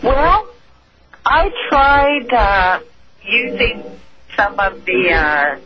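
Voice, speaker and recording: A woman calling in to a radio show, with a mixed Southern and Northern US accent, talking hesitantly with many pauses and restarts. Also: on the high side, very animated, a crowd in the background. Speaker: caller. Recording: phone call line.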